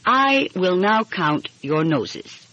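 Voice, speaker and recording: A woman's voice: on the low side, sing-song, slow pace, bright, forceful tone, heavily acted. A woman voicing an earnest, watchful Turkish girl in a storybook, with careful, formal phrasing. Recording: muffled, dull lo-fi audio.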